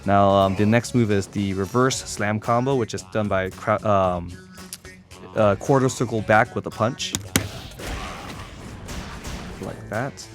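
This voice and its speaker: Low-voiced man giving a casual gaming tutorial. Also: takes it slowly, long pauses, faint music behind. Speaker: competitive gamer demonstrating a character. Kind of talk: unscripted fighting game tutorial commentary.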